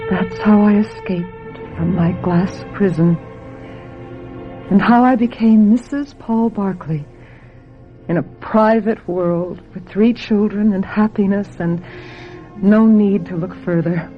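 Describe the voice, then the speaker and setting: A wistful, intense young heroine of a radio play. She speaks slowly in a dreamy, yearning way at a medium pitch, on a muffled old recording. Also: animated, faint music behind. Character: wistful, intense, emotionally needy young woman. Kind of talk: radio drama acting and dramatic narration.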